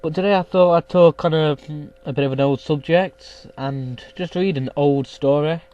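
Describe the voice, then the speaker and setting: Young man, congested from a cold, rambling casual vlog chat, slightly high voice, muffled low-quality webcam sound. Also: normal speed, darkish tone. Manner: rambling, many ums and restarts. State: stuffy nose from a cold. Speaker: YouTube gaming vlogger.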